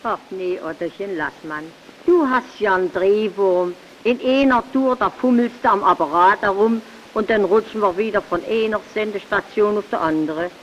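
An actress playing a weary, grumbling wife in an old radio sketch. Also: female voice, mid-range voice, normal speed, close to an everyday voice. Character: annoyed wife tired of radio fiddling. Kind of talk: 1920s radio sketch acting. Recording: dull hissy archive recording.

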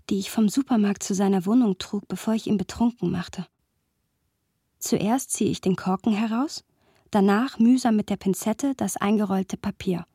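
A woman's voice: medium pitch, darkish tone, slightly rough, no echo, studio quality. An actress playing a thoughtful, playful young woman who narrates a literary radio play. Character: thoughtful, playful young woman recounting her close male friend. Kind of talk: literary scripted narration with lively dialogue.

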